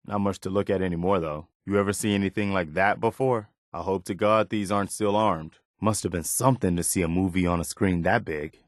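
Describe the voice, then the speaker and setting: Male actor as dutiful post-apocalyptic militiaman, game dialogue, fairly deep voice, reassuring, plain-spoken, dry studio sound. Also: no echo, lightly acted. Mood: curious, reflective. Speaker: video game companion character. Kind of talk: scripted game dialogue lines.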